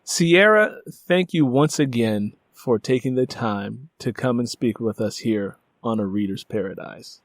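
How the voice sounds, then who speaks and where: A man's voice: mid-range voice, lively intonation, unhurried, hardly any pauses, dry recording. A man with a mid-range voice works as a podcast interviewer. He talks in a reflective, conversational way, circling back to rephrase his points with emphasis. The sound is very dry, with no room around it.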